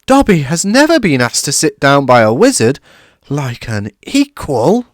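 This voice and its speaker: A man voicing a small, servile and tearful male house elf who talks about himself by name in a high, excitable way. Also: a little slow, no room sound, studio quality. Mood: earnest, wailing.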